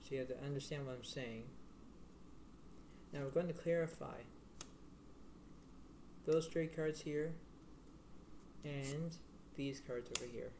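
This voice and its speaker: A man giving a casual tarot reading to the viewer in a halting, repetitive, rambling way. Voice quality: low-volume voice. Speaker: tarot card reader. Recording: noisy homemade recording with room ambience.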